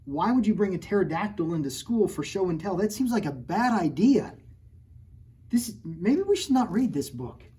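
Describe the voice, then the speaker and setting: A man's voice: sits high, big pitch swings, normal speed, warm tone, husky. A man reading his own picture book aloud to children at storytime, joking with them and putting on mock outrage at his own story. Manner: playful, jokey, mock-outraged, talking straight to children. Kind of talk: storytime read-aloud with playful asides to kids.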